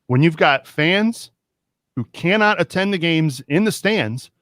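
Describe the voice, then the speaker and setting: Midwest guy hosting a soccer talk show, gets worked up and animated, dry close mic. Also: fairly high pitch, unhurried, no room sound. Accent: American Midwest. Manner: animated, indignant rants. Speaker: podcast host. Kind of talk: casual sports talk.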